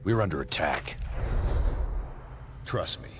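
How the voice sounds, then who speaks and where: A man's voice: extremely low pitch, expressive, slow pace, music in the background, full character voice. A man voicing a hard-bitten, sardonic military spy commander in a video game, brusque and mocking. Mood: grim, assured. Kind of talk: video game cutscene voice acting.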